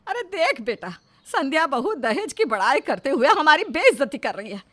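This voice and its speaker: Lady doing a fed up older mother-in-law, high sharp voice, always scolding, story style. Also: very animated, normal speed. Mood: indignant. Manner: scolding, complaining.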